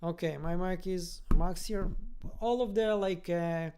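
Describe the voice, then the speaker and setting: A livestream host with a soft-edged, mellow voice. The talk is friendly and informal, wandering and halting while reading out and answering chat comments. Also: medium pitch, a little hiss or hum. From born in Russian-speaking Ukraine, raised from age ten in Israel. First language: Russian. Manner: friendly, rambling, halting, searching for words. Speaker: host of a fan review livestream. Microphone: computer-connected microphone on a livestream.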